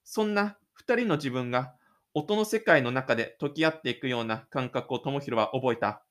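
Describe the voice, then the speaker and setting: A man's voice: medium pitch, expressive, normal speed, a bit bright. A man reading scripted literary narration for an audio drama.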